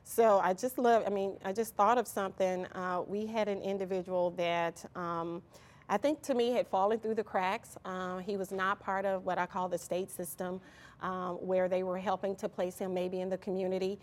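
Female interview guest, medium pitch, conversational, somewhat halting as she finds her words. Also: steady tone. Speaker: interview guest from an employment nonprofit.

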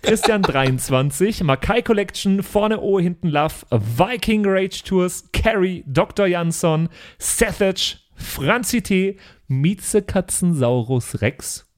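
A man's voice: sits high, animated, takes it slowly. A man hosting a podcast, reading out thanks to supporters in a casual, playful way.

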